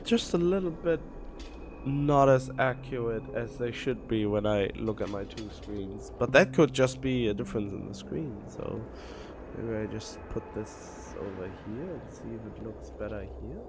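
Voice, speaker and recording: A man's voice: lowish voice, measured pace, noisy background. A man livestreaming a game, chatting casually and muttering his thoughts aloud to himself. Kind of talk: casual solo stream chat while playing a game.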